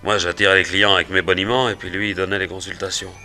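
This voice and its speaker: An actor playing an excitable, grieving fairground barker in a French radio detective serial, theatrical and halting. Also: male voice, low-pitched, faint music behind, heavily acted. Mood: sorrowful. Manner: theatrical, halting and exclamatory when upset.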